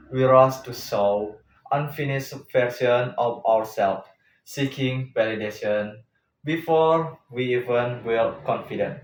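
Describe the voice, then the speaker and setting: Echoey, distant man giving a slow-paced speech. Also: medium pitch. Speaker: speech contestant. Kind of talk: prepared motivational speech in English. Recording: echoey room, distant mic, faint noise.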